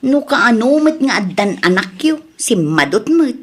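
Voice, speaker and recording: Woman doing a nosy village lady, fairly high voice, ilocano accent, chatty comedy radio drama. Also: sing-song, unhurried, husky, exaggerated voice. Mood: prying, opinionated.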